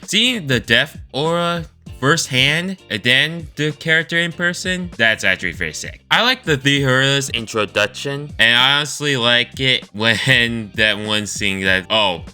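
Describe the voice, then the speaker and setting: A man with a very bright, forward voice and lively, up-and-down intonation gives informal video commentary. His phrasing is halting and choppy, at an unhurried pace. Guitar music plays behind him. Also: medium pitch, clear, resonant tone. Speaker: video creator reviewing a game story.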